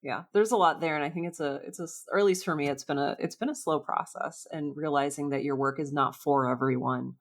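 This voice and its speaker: A woman's voice: deep voice, a little fast, few pauses. A woman hosting a casual interview, speaking in thoughtful, self-correcting phrases. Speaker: podcast host.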